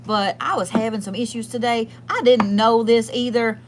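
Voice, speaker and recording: A woman's voice: fairly high pitch, steady tone, moderate pace, background noise, pitched above the performer's own voice, natural voice. A woman playing a sassy, foul-mouthed, folksy Southern woman with a twang, chatting casually as a livestream host. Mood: gossipy, sassy. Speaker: livestream host doing readings. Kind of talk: casual livestream chat in character.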